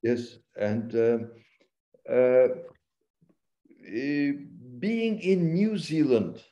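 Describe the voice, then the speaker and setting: A man asks short, halting questions on a video call, with a slow and gentle delivery. His voice is mellow and warm in tone. The audio is dull and lo-fi, the way a video call sounds. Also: medium pitch. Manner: halting, short prompts with fillers. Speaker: interviewer. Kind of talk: interview questions.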